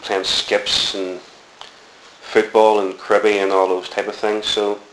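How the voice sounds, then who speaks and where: A middle-aged Belfast man with a very low voice tells of his childhood in a slow, reflective, rambling way, full of ums and restarts. He is recorded in an echoey room with a faint hiss behind him. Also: level tone. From Northern Ireland. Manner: rambling, reflective storytelling with many fillers. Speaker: interviewee. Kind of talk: oral history interview.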